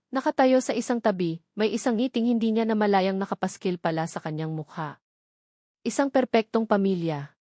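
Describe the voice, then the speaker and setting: A woman's voice: mid-range voice, a little mellow, no room sound, clean studio sound. A woman narrating a romantic drama story in a scripted, dramatic storytelling style.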